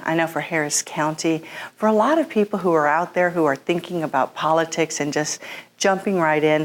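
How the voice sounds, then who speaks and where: A woman's voice: sits low, slight background noise. A woman hosting an interview, speaking formally and in a complimentary way as she introduces and questions her guest. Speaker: interview host, chamber of commerce president.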